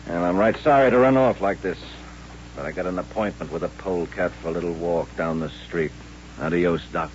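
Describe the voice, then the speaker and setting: An actor playing a menacing, sardonic western gunfighter with a mocking, folksy drawl in a radio drama. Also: male voice, low-pitched, a little fast, natural voice. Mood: sardonic, threatening. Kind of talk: old-time radio western acting. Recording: muffled old radio recording with hum.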